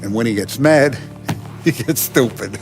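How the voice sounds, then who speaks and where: An actor playing a paternal, authoritative Italian-American mafia boss in a 1930s crime game, speaking deliberately. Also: male voice, medium pitch, lightly acted. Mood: smug, measured. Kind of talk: cinematic video game acting. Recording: music behind the voice.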